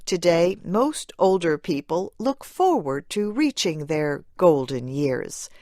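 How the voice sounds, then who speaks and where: A woman narrating a scripted English lesson in a measured, clearly phrased way for learners. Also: lowish voice, animated, darkish tone, no echo, clean studio sound.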